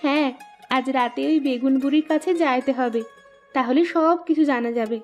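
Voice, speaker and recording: A woman's voice: sits high, normal speed, faint music behind, natural voice. A woman playing a curious, easily frightened young heroine in a horror cartoon. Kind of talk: Bengali horror cartoon acting.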